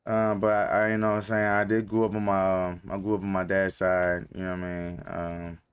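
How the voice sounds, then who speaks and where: A man's voice: low voice, monotone, brisk, smooth. A young man from North Carolina chatting casually on a game live stream, rambling with lots of slang and fillers.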